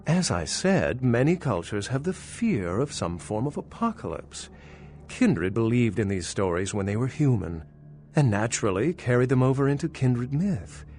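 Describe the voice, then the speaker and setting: A man's voice: medium pitch, sing-song, moderate pace, darkish tone, slight background noise, natural voice. An actor playing a centuries-old vampire scholar in a video game, articulate, skeptical and dryly condescending as he lectures. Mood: lecturing, skeptical. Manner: articulate, lecturing, wry, pedantic. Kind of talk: video game dialogue acting.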